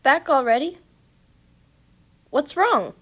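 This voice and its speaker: A woman's voice: sits high, expressive, slow pace, fairly bright tone, full character voice. A woman playing a tender, reassuring mother of a young boy in a children's puppet show. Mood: tender concern.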